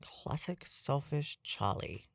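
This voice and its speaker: A woman as a low-voiced, sardonic traveler. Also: expressive, slow, vocal fry, no room sound, studio quality, exaggerated voice. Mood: irritated, determined. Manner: blunt, needling. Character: blunt, sardonic, confident traveler companion. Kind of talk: naturalistic audio drama acting.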